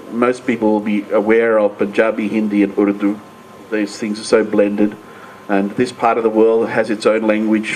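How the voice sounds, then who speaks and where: A man's voice: lowish voice, a little mellow, slight background noise, rough recording. An older man from Australia, speaking on a panel at a book launch, hesitant and full of fillers. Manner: hesitant, many fillers and restarts. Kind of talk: public panel talk.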